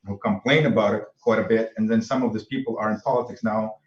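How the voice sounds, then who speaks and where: A man with a Russian accent, a military veteran, speaking haltingly on a panel with repeated words. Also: fairly low pitch, fairly even intonation. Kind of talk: panel discussion. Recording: roomy, distant, dull sound.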